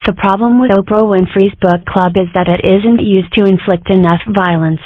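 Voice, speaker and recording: A woman's voice: mid-range voice, steady tone, moderate pace, dry recording, narrow, tinny audio, lightly acted. A woman voicing an opinionated, mischievous animal girl in a comic dub. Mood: self-important. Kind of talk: comic dub character acting.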